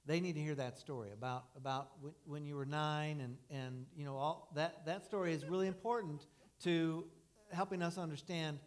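A man's voice: fairly high pitch, fairly even intonation, moderate pace. A man hosting an informal church interview, speaking affirmingly to a congregation and often repeating or restarting his phrases. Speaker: church host interviewing guests.

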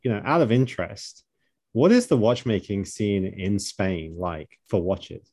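A man's voice: lowish voice, unhurried, mellow, husky, no room sound, clean studio sound. A man co-hosting a podcast, interviewing a guest. He asks questions in a friendly way, often adding "like" and "right?". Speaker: podcast co-host. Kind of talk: hosted interview.

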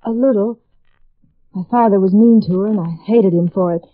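A woman's voice: mid-range voice, normal speed, close to an everyday voice. A woman playing a young college femme fatale, teasing yet fragile, in a 1950s radio drama. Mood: vulnerable. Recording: tinny band-limited vintage radio recording.

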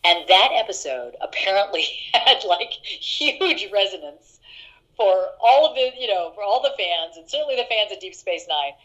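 A middle-aged actress telling stories in an interview, chatty and laughing, with many fillers and restarts. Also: female voice, animated, moderate pace, fairly bright tone, muffled recording. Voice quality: deeper voice. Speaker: actress guest. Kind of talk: casual interview anecdotes.

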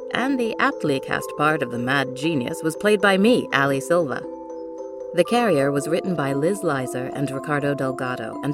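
A woman with a low-pitched voice hosts a scripted podcast outro. Music with chimes plays clearly behind her as she reads. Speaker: podcast host and director reading credits. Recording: clear music bed under the voice.

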